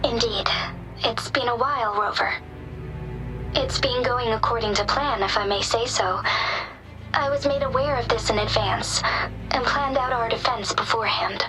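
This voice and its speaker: A woman plays a resolute city magistrate in a fantasy game. She speaks formally and with care in a highish voice. Game music is clearly heard behind her. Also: natural voice, poor audio. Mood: composed, courteous. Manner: formal, measured. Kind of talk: video game cutscene acting. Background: game soundtrack music.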